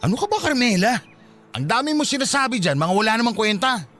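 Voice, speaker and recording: A man's voice: very high-pitched, sing-song, fast, fairly bright tone, faint music behind, exaggerated voice. A man playing a boastful, dismissive young Filipino husband and new father, insistent and argumentative, in a radio drama. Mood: irritated, dismissive.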